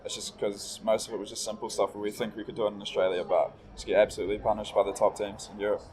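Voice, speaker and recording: A young New Zealand man talks fast and easily in a casual interview, his accent plain. His voice sits low and stays flat, with little rise or fall. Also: poor audio. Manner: laid-back, quick, agreeable. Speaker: esports player.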